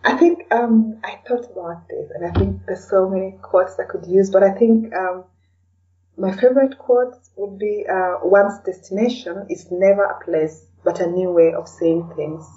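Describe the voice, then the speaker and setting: A woman with a soft-edged, mellow tone, recorded dully and at some distance from the microphone. She talks as a guest in a casual interview, feeling out her thoughts as she goes and often tacking on "you know". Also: mid-range voice, moderate pace. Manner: hesitant, thinking aloud, often adds "you know". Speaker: podcast guest, blogger and podcaster. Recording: dull, lo-fi, distant from the mic.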